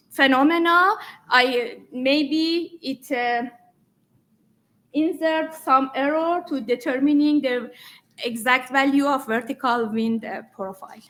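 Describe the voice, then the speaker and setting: A woman answering audience questions after a conference talk, speaking haltingly with many fillers. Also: sits high, fairly bright tone. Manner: halting, many fillers, non-native phrasing. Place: conference room.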